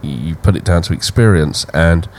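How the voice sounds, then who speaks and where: A deep-voiced man talking in an easy, measured way on a podcast. A faint hiss of noise sits under his voice. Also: darkish tone. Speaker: podcast co-host. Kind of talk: casual chat. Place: Thailand.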